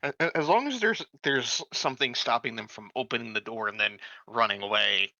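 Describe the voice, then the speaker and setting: A man's voice: mid-range voice, a little fast, gravelly, bright, forceful tone, dry recording, dull audio. A man talking casually as a player in a tabletop roleplaying game.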